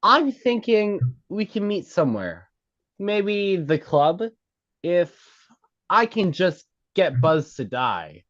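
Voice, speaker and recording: Blunt comedy club worker played at a table read, insistent, slow delivery, very animated pitch, slightly dull recording. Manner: emphatic, stumbles over the script.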